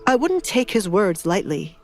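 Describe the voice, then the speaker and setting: A woman's voice: medium pitch, big pitch swings, a little slow, soft background music. A woman voicing a stern, scheming middle-aged noble father with a grave, warning manner in an audiobook drama. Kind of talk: audiobook drama character acting.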